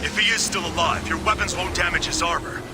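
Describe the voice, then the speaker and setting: Man playing a high, urgent robot soldier. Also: fairly even intonation, fast talker, heavy background noise, close to an everyday voice. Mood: businesslike, tense. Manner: urgent, forceful military calls. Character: urgent, battle-ready male robot soldier. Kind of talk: video game action acting. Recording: loud music behind.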